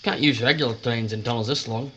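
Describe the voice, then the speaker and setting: A man's voice: mid-range voice, quick pace, sharp tone, slight background noise, natural voice. Man acting a folksy British tube worker. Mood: obliging. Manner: folksy, deferential, wry. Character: cheeky, steady working-class male tube maintenance worker. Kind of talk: period audio drama acting.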